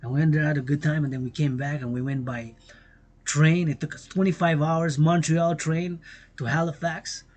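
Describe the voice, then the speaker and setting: Husky quebec guy rambling on a livestream, bit high voice, flips french and english. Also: steady tone, moderate pace, darkish tone. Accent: Québécois French. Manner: switches between French and English, repeats phrases, breaks into song. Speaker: vlogger. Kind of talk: bilingual rambling livestream monologue.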